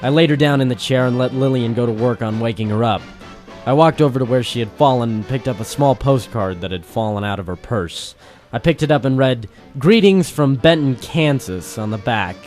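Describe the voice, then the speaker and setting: An actor playing a hard-boiled, wry private eye in a noir radio play, with clipped storytelling. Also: male voice, mid-range voice, lively intonation, fairly quick, darkish tone, natural voice. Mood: wry narrating. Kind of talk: noir radio-play detective narration and dialogue. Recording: music under the voice.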